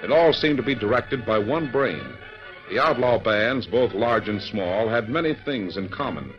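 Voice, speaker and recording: A man's voice: lowish voice, lively intonation, moderate pace. A man narrating an old-time radio drama in a grand, theatrical, declamatory style. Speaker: announcer and narrator. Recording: dull vintage radio recording with music.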